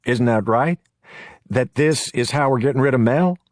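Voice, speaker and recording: A man's voice: medium pitch, moderate pace, no echo, clean studio sound, voice pushed up, natural voice. A man voicing an uneasy young male accomplice who talks in a hesitant, questioning way.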